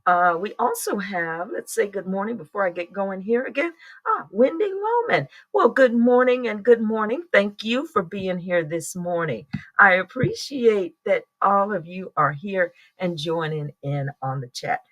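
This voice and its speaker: A woman's voice: mid-range voice, lively intonation, measured pace, a bit bright, poor audio. A middle-aged woman talks her way through a casual morning livestream in a rambling, chatty manner. She greets viewers by name and breaks into laughter often. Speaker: livestream host.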